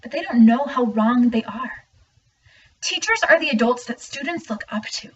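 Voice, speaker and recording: A young woman acts out an earnest, emphatic student in a dramatic monologue, in a fairly high voice. The recording is a little muffled and distant. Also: expressive, normal speed, husky. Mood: indignant. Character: earnest student speaking out about teachers. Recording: muffled, distant mic, faint noise.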